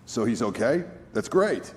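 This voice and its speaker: A man's voice: medium pitch, big pitch swings, measured pace, breathy edge. A man playing a casual young gang lieutenant in a video game. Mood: relieved, protesting. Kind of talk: video game cutscene acting.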